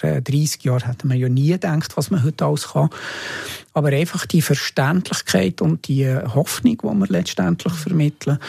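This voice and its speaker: A middle-aged or older man answering as an interview guest, haltingly, repeating words as he thinks. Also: on the high side, gravelly, warm tone, slightly rough, no echo. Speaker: interview guest looking back on media work. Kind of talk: conversational interview.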